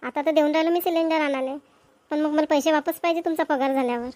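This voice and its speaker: A woman's voice: sits high, steady tone, fast talker, darkish tone, no room sound, lightly acted. A woman playing a practical, nagging village wife and mother in a cartoon comedy, with a Vidarbha Marathi accent.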